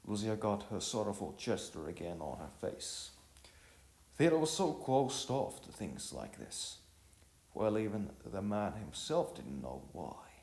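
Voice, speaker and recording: A man's voice: lowish voice, a little mellow. A man reading a scripted story as narrator, often stumbling and repeating phrases as he goes. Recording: roomy echo, clear music bed. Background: music.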